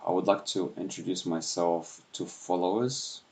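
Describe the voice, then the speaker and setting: A man from Ukraine with a Russian accent, speaking softly and unscripted at home in the early morning, with many pauses and fillers. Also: low voice, gravelly. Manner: speaks softly with frequent hesitations. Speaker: YouTuber. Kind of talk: unscripted livestream talk.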